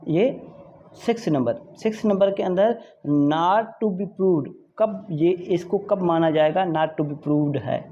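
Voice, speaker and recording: Law teacher, mellow voice, repeats points n keeps checking u follow, dull recording w faint noise. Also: medium pitch. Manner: repetitive, checks understanding often with tag questions; switches into English to read out legal definitions slowly. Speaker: law lecturer. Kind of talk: informal exam-prep lecture, explaining point by point. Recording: dull, muffled audio with background noise.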